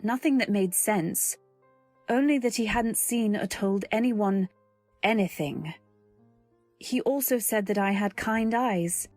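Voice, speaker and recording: A woman narrating and acting a young wife in an old-time radio drama, earnest, anxious, and gentle. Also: medium pitch, slow, dry recording, soft background music. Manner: earnest first-person storytelling, anxious and gentle. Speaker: narrator and actor.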